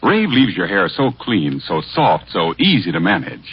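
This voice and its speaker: An actor voicing a magic mirror that sells a product in an old radio advertisement. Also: male voice, sits low, sing-song, moderate pace. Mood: selling. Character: smooth-selling magic mirror in shampoo advert. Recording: muffled, faintly noisy old radio recording.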